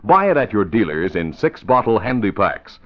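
A man's voice: fairly low pitch, very animated, moderate pace. A man reading scripted lines as an old-time radio announcer and narrator. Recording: muffled, faintly noisy vintage radio recording.

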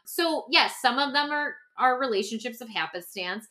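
A woman co-hosting a podcast in a casual, reflective conversation, talking in an animated, informal way with lots of "like". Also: a little high, moderate pace. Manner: animated, informal, peppers talk with "like". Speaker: podcast co-host.

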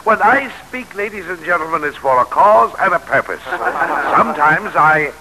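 A man's voice: fairly high pitch, sing-song, normal speed. An actor playing a proud, jovial, ambitious statesman in an expansive, oratorical 1940s radio drama style, on a hissy old recording.

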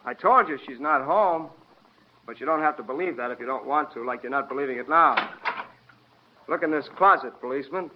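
An actor playing a taunting, theatrical pianist under suspicion in an old-time radio crime drama, mock-polite and flowery. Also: male voice, medium pitch, brisk, a little hiss or hum, lightly acted. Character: mocking, theatrical male pianist, a murder suspect. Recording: dull vintage radio recording with music.

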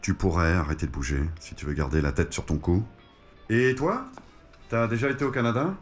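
A French actor playing a grumbling, dry-witted barber in a naturalistic audio drama. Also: male voice, low-pitched, fairly quick, faint music behind, lightly acted. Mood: dry, annoyed. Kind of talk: naturalistic audio fiction dialogue.

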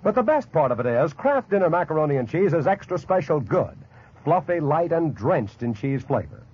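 An American man reading a 1940s radio advertisement as a commercial announcer, with a husky voice. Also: fairly high pitch, big pitch swings, moderate pace, a little mellow. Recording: dull vintage 1940s radio recording.

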